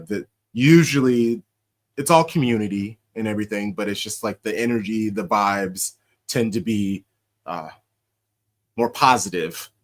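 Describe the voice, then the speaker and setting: Young American male host, lowish voice, animated and laughing, casual podcast chat. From United States. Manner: animated storyteller, laughs often, shouts out quoted chants.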